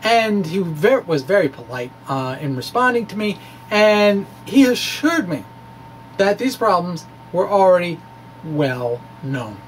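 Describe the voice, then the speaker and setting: High-pitched man explaining physics, haltingly. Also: expressive, a little hiss or hum, poor audio. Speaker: amateur physicist presenting his blog. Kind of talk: dramatic reading of a physics blog, explanatory talk.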